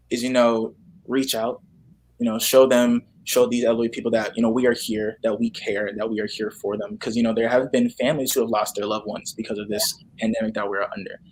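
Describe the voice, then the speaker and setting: A man speaks casually as a guest in an interview. His delivery is earnest and halting, often repeating itself. There is faint noise in the background. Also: mid-range voice, poor audio. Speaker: guest, actor. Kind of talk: casual conversational answer.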